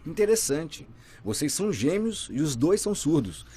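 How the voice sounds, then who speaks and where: A man's voice: fairly high pitch, sing-song, moderate pace, dark tone, breathy edge. A man voicing an interview host's signed questions in a conversational, inquisitive way. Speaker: voice interpreter for the host.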